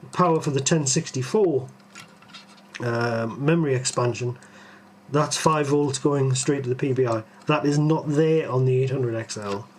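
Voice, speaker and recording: A man's voice: a little high, a little mellow, slight background noise. A man with a Geordie accent hosting a live tech stream, musing aloud with lots of ums and restarts as he answers viewers. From Tyneside, England.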